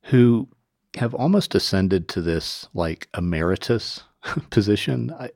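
A man hosting a conversational interview, musing in a measured way and choosing his words carefully. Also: fairly low pitch, expressive, creaky, darkish tone, no room sound, studio quality. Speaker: podcast host.

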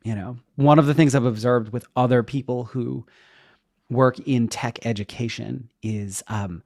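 A man's voice: medium pitch, fairly even intonation, unhurried, gravelly, darkish tone, no room sound, studio quality. A middle-aged man talking reflectively in a conversational interview, in a hesitant, thoughtful, self-questioning manner. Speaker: podcast guest, web developer and educator.

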